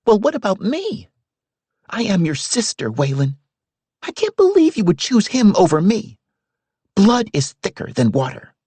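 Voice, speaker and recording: A man's voice: sits high, wildly swooping pitch, a little slow, warm tone, breathy edge, dry recording, voice pushed up, exaggerated voice. A man reading aloud, voicing a distraught pregnant young woman who pleads heatedly with her family. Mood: heated, pleading.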